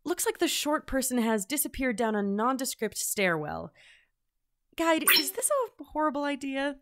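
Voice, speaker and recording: A woman playing an excitable, irreverent field agent logging a mission in an audio drama, informal and easily flustered. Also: high-pitched, normal speed. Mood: curious. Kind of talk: scripted audio drama acting.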